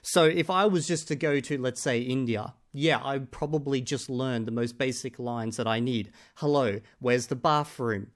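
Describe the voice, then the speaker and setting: A man reacting to another creator's video in casual, argumentative commentary, often pausing on "okay?" to drive his points home. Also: mid-range voice.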